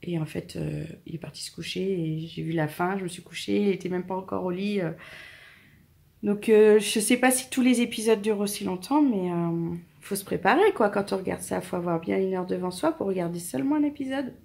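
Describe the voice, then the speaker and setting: Chatty French woman with a gravelly edge. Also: medium pitch, brisk. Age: 20s. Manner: chatty, rambling, talks softly to her cats. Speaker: family vlogger. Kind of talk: casual chat to camera.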